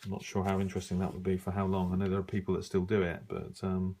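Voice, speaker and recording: Middle-aged man, fairly low voice, casual hobbyist commentary, unscripted, halting, thinking out loud. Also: completely flat delivery, a little hiss or hum. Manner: musing, halting, thinking aloud while browsing. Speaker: radio hobbyist reviewing an old magazine.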